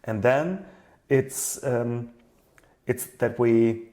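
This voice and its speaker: A man being interviewed as a product designer, explaining his work in a thoughtful, halting way and often repeating words as he goes. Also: medium pitch, warm tone.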